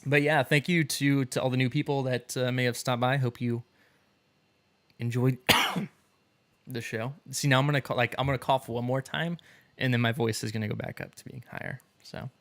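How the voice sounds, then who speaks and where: A man hosting a gaming podcast in casual chat, his voice hoarse from a night of coughing, chatty and quick to laugh. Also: medium pitch, steady tone, fairly bright tone. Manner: chatty, laughs often, rambling. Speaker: podcast host.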